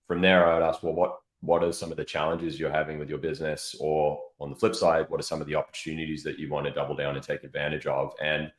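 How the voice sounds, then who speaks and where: A man's voice: a bass voice, monotone, fast talker, few pauses. A man speaking as an interview guest, explaining things step by step in a conversational way. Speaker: interview guest, product marketing executive. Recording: roomy, echoey sound.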